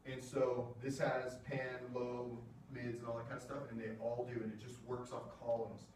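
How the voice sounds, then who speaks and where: A man talks a class through sound gear in a loose, chatty way, full of "um" and "like". His voice is mellow and relaxed. It's picked up from far off in a very echoey room, with a faint hiss underneath. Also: mid-range voice. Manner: casual, chatty explaining with fillers. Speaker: music technology presenter. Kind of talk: classroom lecture with questions. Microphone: room mic from a distance.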